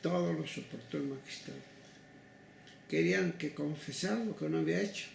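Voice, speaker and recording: An older Mexican man recounts past cases as an interviewee. His voice is very gravelly and fairly high for a man, and he speaks in a halting, deliberate way. The room rings with echo around him. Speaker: interviewee, human rights legal advocate. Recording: echoey room with faint noise.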